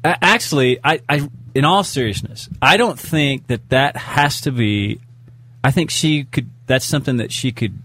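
A man's voice: medium pitch, normal speed, fairly bright tone, husky. A man co-hosting a casual podcast chat, telling self-mocking stories. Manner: chatty storyteller, self-mocking. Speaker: podcast co-host.

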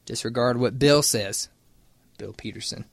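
A man's voice: mid-range voice, measured pace, gravelly, slightly rough, no room sound. Teasing male radio co-host, dry sound. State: getting over a cold. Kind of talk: casual radio banter.

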